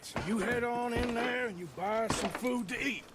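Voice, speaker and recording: A gruff, blunt outlaw. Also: male voice, very high-pitched, moderate pace, very noisy. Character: gruff middle-aged male outlaw, blunt but decent. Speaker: outlaw gang member. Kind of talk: video game cutscene acting.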